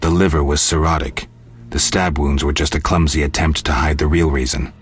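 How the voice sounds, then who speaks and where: A stoic monster-hunting man from a fantasy game. His voice sits extremely low and gruff. He speaks in terse, deadpan, sardonic lines, with music underneath. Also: brisk, exaggerated voice. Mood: skeptical. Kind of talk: video game voice acting.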